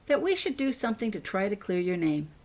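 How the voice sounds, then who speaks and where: A clever storybook girl, voiced by a woman, calm but stubbornly persistent. She presses her point insistently and speaks with quiet certainty. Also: mid-range voice, fast. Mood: scheming.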